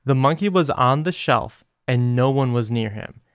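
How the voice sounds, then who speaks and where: A man's voice: mid-range voice, expressive, normal speed, smooth, dry recording, studio quality. A man co-hosting a podcast, reading listener emails aloud and chatting casually. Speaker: podcast co-host.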